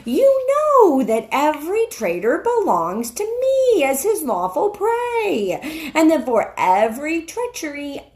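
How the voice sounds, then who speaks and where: A woman voicing a cruel, imperious witch queen in a storybook, with a savage, shrill, commanding manner. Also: wildly swooping pitch, takes it slowly, darkish tone, full character voice. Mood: furious.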